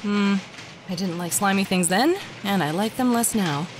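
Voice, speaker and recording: A woman's voice: mid-range voice, moderate pace, noisy background, natural voice. An actress playing a wry, polite female doctor in an audio drama. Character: dry-humored female research doctor.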